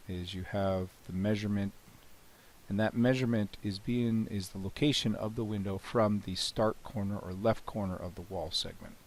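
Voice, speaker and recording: A man with a cold, hoarse and often clearing his throat, casually talking through a software demo with many "you know"s and restarts. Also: on the low side. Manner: relaxed, rambling, frequent "you know" and restarts. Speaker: software developer demonstrating his plugin. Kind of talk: screen-recorded software walkthrough.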